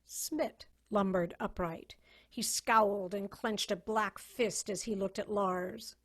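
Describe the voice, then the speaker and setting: Casting: female narrator for a science fiction reading, medium pitch, measured storyteller delivery. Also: fairly even intonation. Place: online video call reading.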